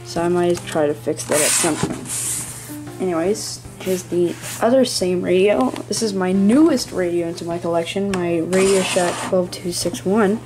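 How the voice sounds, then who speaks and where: Halting young-sounding hobbyist showing off a collection. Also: medium pitch, over music, low-quality recording. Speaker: hobbyist presenting a radio collection. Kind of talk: casual show-and-tell narration. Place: small indoor room at home. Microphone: handheld camera close by.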